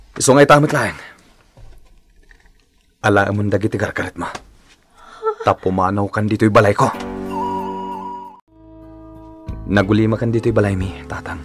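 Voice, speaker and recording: A man's voice: fairly low pitch, natural voice. A man playing an aggrieved, resolute husband in a radio drama, firm and reproachful. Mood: angry.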